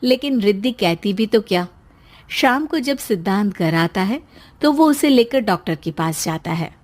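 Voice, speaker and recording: A woman's voice: medium pitch, animated, moderate pace, darkish tone. A woman reading scripted storybook narration as the narrator of a tale.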